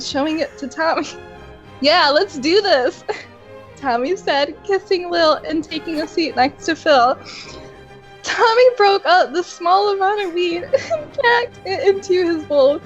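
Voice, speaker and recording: A young woman reading amateur fan fiction aloud, often giggling between lines. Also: high voice. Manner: reads aloud with frequent giggles. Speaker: co-reader. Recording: dull lo-fi home recording. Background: faint music.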